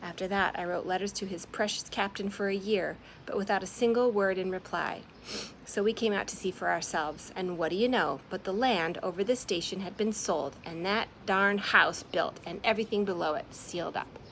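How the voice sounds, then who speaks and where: A woman's voice: moderate pace, a bit bright, a little hiss or hum, natural voice, rough recording. A woman reading an audiobook, voicing an angry young man who snarls and shrieks. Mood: bitter, snarling. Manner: snarling, shrill outbursts.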